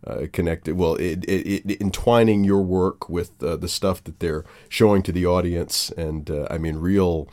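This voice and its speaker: Low-voiced male radio interviewer. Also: fairly even intonation, moderate pace, hardly any pauses, darkish tone. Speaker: radio show host and interviewer. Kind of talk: casual, conversational radio interviewing.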